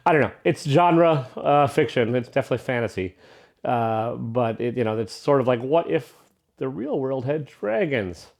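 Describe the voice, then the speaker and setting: A man's voice: mid-range voice, lively intonation, moderate pace, vocal fry, darkish tone. A man giving a casual, unscripted review as a vlogger, rambling along in an irreverent, self-deprecating way.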